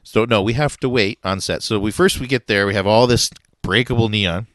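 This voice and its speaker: Laid-back low-voiced man telling a story. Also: dry recording. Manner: relaxed, laughs often, halting with fillers. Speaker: podcast co-host. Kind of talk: casual chat, storytelling.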